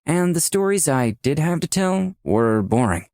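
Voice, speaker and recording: A man's voice: a little high, very animated, a little slow, darkish tone, no room sound, clean studio sound. An actor playing a wry, self-deprecating dead young artist who narrates his afterlife in a casual storytelling way for a visual novel. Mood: dry, bored. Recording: music playing behind the voice.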